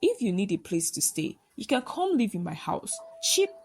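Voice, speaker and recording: Woman voicing a coaxing, animated Nigerian male landlord. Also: medium pitch, normal speed, a little hiss or hum. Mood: persuasive. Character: friendly, grateful male landlord of a village folktale.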